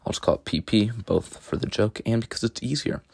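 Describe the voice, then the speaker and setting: A man with a rough voice narrating a video game strategy guide, explaining step by step in a casual, joking way. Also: lowish voice, a little fast, darkish tone, no room sound, studio quality. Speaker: gaming tutorial narrator. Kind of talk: gameplay commentary voice-over.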